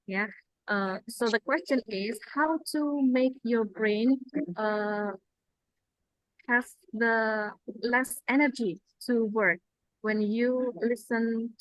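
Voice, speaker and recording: A woman with an Indonesian accent, a native Indonesian speaker, lecturing in a halting, explanatory way as she presents slides. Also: a little high, dry recording, rough recording. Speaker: lecturer presenting at a language-learning seminar.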